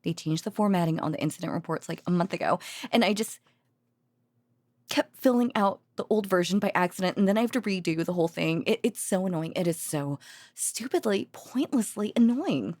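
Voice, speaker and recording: A woman playing a tired, affectionate researcher girlfriend in an intimate audio roleplay, talking haltingly with sighs and murmured endearments to a sleepy partner. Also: fairly low pitch, expressive, a little mellow, no room sound. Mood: weary, exasperated. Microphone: close, dry studio microphone.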